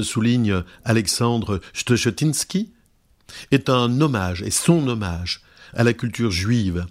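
A man hosting a radio program, introducing guests and reading their words in a slow, measured way with occasional hesitations. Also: fairly low pitch. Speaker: radio host. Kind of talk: radio presentation, reading translated testimonies.

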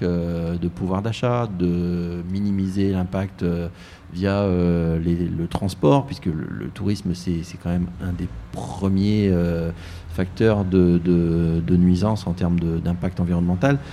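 A man heading a tourist office, answering questions in a live radio interview, with frequent pauses and restarts. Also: sits low, fairly even intonation, warm tone, a little hiss or hum. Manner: hesitant, many fillers and restarts.

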